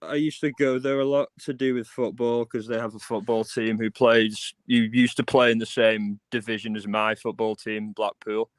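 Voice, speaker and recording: A man's voice: medium pitch, steady tone, normal speed, few pauses, no room sound. A man with a Lancashire accent from the north of England, a guest musician chatting casually on a livestream, telling stories with quoted asides. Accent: Northern English, Lancashire.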